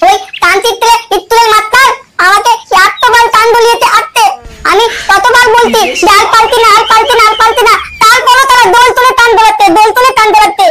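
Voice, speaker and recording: A man's voice: very high-pitched, flat delivery, fast, very noisy. A man voicing a cheeky, foul-mouthed cartoon schoolboy, whiny and defiant, with childish mispronunciations. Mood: sulky, tearful. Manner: whining, pleading, childish mispronunciations. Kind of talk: amateur cartoon comedy dub.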